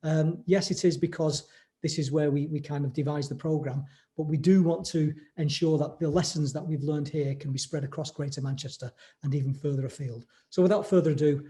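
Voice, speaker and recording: A man's voice: fairly high pitch, steady tone, fairly quick, mellow. A man introducing a speaker at a webinar over a video call, hesitant and repeating words. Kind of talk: event introduction.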